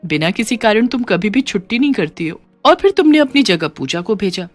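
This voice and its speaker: A woman voicing a kind, considerate middle-class employer of a maid in an animated story, informal and instructing. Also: medium pitch, brisk, faint music behind. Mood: kind, reassuring.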